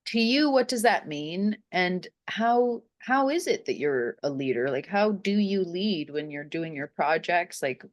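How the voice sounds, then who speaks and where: Woman, interview host, casual chat, chatty and effusive, highly animated pitch.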